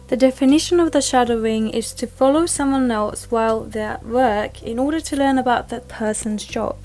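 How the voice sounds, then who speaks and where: A woman in her twenties from Japan, a native Japanese speaker with a Japanese accent, presenting a scripted explainer as a language vlogger in simple, deliberate, slightly non-native phrasing. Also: a little high, dark tone. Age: 20s.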